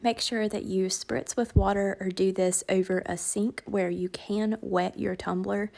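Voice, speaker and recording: A woman with a raspy, hoarse voice, chatting step by step through a crafting tutorial voiceover. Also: mid-range voice, level tone, measured pace, darkish tone. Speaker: crafting channel host.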